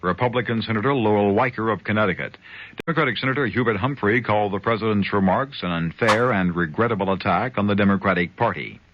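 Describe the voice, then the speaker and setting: A man reading a radio news bulletin in a measured, formal way. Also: fairly low pitch. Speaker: newsreader. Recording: lo-fi 1970s radio with faint hiss.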